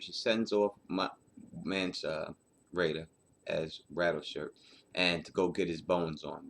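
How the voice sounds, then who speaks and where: A man's voice: deep voice, creaky, fairly bright tone. A man giving casual commentary on a book as host, in an informal, slangy way that circles back over points. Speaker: host breaking down a fantasy book chapter.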